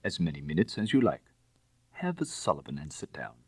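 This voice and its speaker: A man voicing an urbane, unruffled young gentleman burglar in an audiobook story, with a suave, probing manner. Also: extremely low pitch, very animated, a little fast, breathy edge, no room sound, full character voice.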